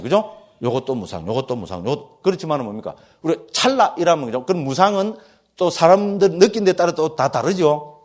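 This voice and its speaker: A man with a Gyeongsang accent, a Buddhist monk giving a doctrine lecture, who keeps asking his listeners for agreement in a lively, teasing way. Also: mid-range voice. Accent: Gyeongsang Korean. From Gyeongsang region, Korea.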